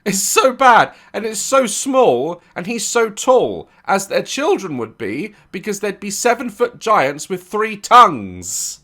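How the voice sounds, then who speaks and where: A man with a hoarse, sore throat hosting a reaction video, joking and swearing as he comments. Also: sits high, very animated, slow, few pauses, pushed and bright. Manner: jokey, irreverent, swearing.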